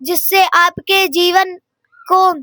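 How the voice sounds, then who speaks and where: Very high, bright young schoolgirl reading aloud. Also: fairly even intonation, dry recording. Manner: halting, word-by-word reading with stumbles. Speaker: student reading a lesson aloud.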